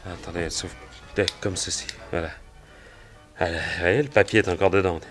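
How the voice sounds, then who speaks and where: Actor as anxious ordinary bloke, very low voice, halting, colloquial, radio mystery witness. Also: male voice, steady tone, faint music behind, natural voice. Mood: hesitant. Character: Burns, anxious plain-spoken working man, a murder witness. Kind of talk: French radio crime drama acting.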